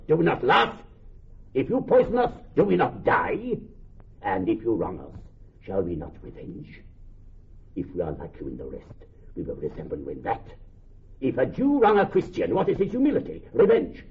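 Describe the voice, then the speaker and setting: A man's voice: mid-range voice, huge pitch swings, normal speed, creaky. A wronged, vengeful moneylender in a classical radio drama. His rhetorical delivery leaps and plunges through a broad range. The sound is a little muffled. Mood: grave, wounded. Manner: declamatory, rhetorical, building in repetition. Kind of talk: classical Shakespearean radio acting.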